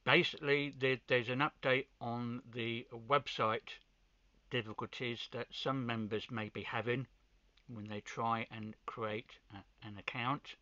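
A man giving an informal hands-on video demonstration, pausing between short phrases, with muffled sound. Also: mid-range voice, steady tone, sharp tone, no echo. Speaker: amateur radio hobbyist presenter.

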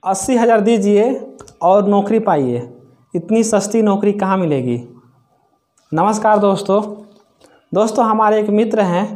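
A man's voice: high voice, darkish tone. A man, presenting a warning straight to camera. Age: 20s. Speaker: video presenter. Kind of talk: scam warning addressed to camera.

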